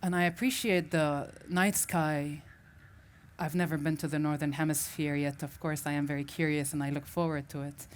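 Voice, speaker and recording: A woman's voice: sits low, steady tone, normal speed, slight background noise. A woman from Syria, a native Arabic speaker, giving a reflective personal story as a festival speaker and often restarting as she searches for words.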